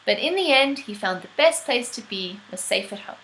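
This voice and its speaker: A woman reading a children's story aloud as an expressive narrator. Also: a little high, moderate pace, a little hiss or hum, low-quality recording. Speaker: storybook reader.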